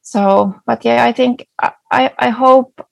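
A woman's voice: on the high side, steady tone, unhurried, creaky, no echo. A woman whose first language is Swedish, answering an interviewer's questions enthusiastically in a casual chat, with frequent restarts. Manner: enthusiastic, many restarts and fillers. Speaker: foraging guide and entrepreneur.

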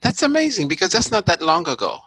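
Bright-voiced Nigerian man hosting a podcast. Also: sits high, huge pitch swings, husky, no echo. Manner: warm, curious, quick to react. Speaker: podcast host. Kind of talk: interview hosting.

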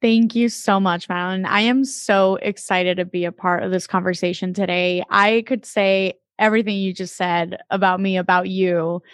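A woman in her thirties from the Dominican Republic, a guest in an interview who talks in a warm, chatty way. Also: mid-range voice, fairly even intonation, normal speed, few pauses, clean tone, no room sound, clean studio sound. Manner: warm and chatty, with many fillers. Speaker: podcast guest.

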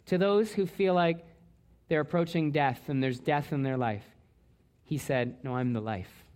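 A man's voice: a little high, a little slow, a little mellow. A man from Canada, a pastor preaching a sermon in church, earnest, often restarting and repeating words.

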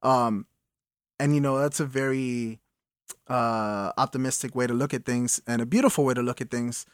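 A man asks interview questions in a loose, halting way, padding them with "um" and "uh". His voice sits at an ordinary pitch. The recording is dry and close. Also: fairly even intonation, clear, resonant tone, dry recording. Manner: hesitant, filler-heavy questions.

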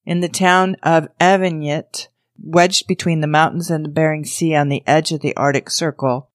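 A woman's voice: low-pitched, fairly even intonation, moderate pace, no room sound, studio quality. A woman podcast host reading a scripted introduction and news story aloud as narration.

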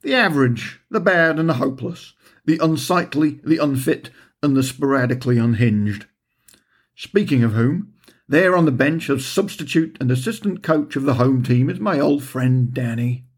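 Guy reading his own story, wry and dry, bit of a husky edge, middling pitch. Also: expressive, normal speed, soft background music. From England. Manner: wry, dryly humorous storytelling. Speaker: author reading own audiobook chapter. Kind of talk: scripted first-person narration.

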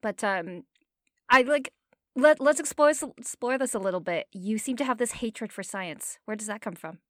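A woman co-hosting a casual podcast chat, lively and questioning, laughing often. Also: fairly high pitch, normal speed, no echo, studio quality. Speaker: podcast co-host, statistician.

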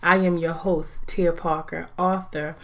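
A woman in her forties hosting a faith-based podcast, talking in an earnest, deliberate, reflective way. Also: lowish voice, flat delivery. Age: 40s. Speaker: podcast host.

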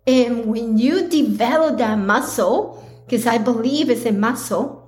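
A woman hosting her own podcast, talking earnestly with a slightly raspy, hoarse voice, pausing and restarting often. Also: a little high, darkish tone. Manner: slow, earnest, with pauses and restarts. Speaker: podcast host. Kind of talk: casual solo podcast talk.

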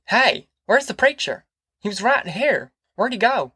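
A woman's voice: fairly low pitch, lively intonation, normal speed, fairly bright tone, dry recording, clean studio sound, pitched above the performer's own voice. A woman voicing an easygoing young man just out of prison, with a folksy rural drawl. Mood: calling out.

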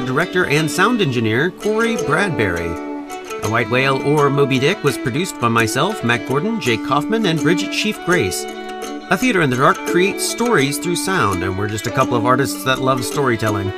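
A man with a middle-pitched voice speaks as a show's director and host. He announces and interviews, with clear plucked-string music in the background. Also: lively intonation, a little fast.

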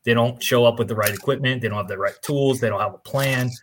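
A man's voice: mid-range voice, quick pace, slight background noise. A man who is a firefighter and instructor speaks earnestly and analytically in a casual chat.

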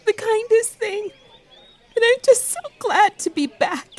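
A woman's voice: very high-pitched, measured pace. A woman acting in an audio drama as a tender, shaken young woman, heartfelt and emotional with her dear friend. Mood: grateful, moved.

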